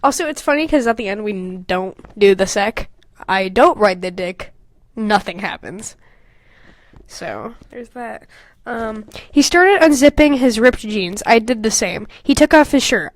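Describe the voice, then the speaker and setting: A woman with a high, very animated voice reads her own story like a casual podcast host. She keeps undercutting it with candid, self-mocking, sweary asides. The sound is close and dry. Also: dry recording.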